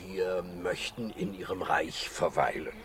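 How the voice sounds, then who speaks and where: A man's voice: sits low, a little slow, crowd noise. A man playing a kindly, noble doctor in a children's radio play, earnest and reassuring. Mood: earnest, pleading. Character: kindly, noble doctor, calm leader of his animals.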